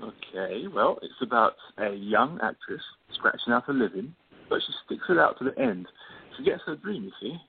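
A man's voice: medium pitch, very animated, normal speed, creaky, slightly rough, exaggerated voice. A man playing a teasing, wry playwright friend in a radio play, with a playful, theatrical manner. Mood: playful, coaxing. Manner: playful, self-mocking, theatrical. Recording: tinny phone-like sound with faint noise.

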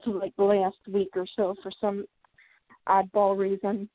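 A woman's voice: medium pitch, monotone, unhurried, no echo. A woman hosting a casual talk show, chatty and easily sidetracked, a little tired. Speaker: podcast host. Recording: tinny phone-like line.

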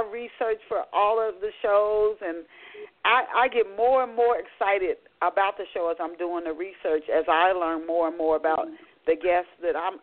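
A woman hosting a book talk radio show, chatty and animated, often restarting her sentences. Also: medium pitch. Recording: tinny phone-line audio.

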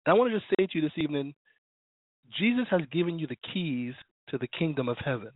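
A male minister preaching and praying on an internet radio show, his voice slightly raspy from illness. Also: on the high side, big pitch swings, quick pace, no echo. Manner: fervent, preacherly, prays and reads scripture. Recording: dry tinny phone line.

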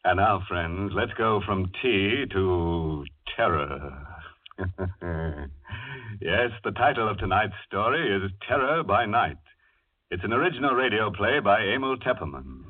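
An actor playing a gleefully macabre, mocking horror host in theatrical 1940s radio style. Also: male voice, fairly low pitch, animated, measured pace. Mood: gleefully sinister. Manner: theatrical, mock-sinister, punning. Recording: tinny old radio broadcast with music.

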